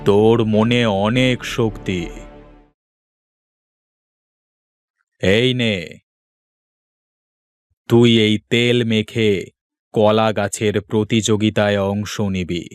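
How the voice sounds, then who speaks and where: A man voicing a kindly old tantric hermit in a cartoon story, solemn, knowing and measured. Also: on the low side, steady tone, clean tone, dry recording, soft background music. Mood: encouraging. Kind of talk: Bengali cartoon story dub, dramatic.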